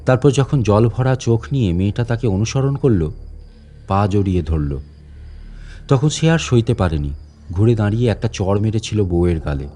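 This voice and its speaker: A man's voice: on the low side, lively intonation, normal speed, mellow, faint music behind. A man playing an aging, deferential guide at a lonely fort, telling a dramatic story in a conversational, insinuating way. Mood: somber, dramatic. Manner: conversational, insinuating, theatrical with rhetorical questions. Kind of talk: dramatic first-person audio story narration in Bengali storytelling.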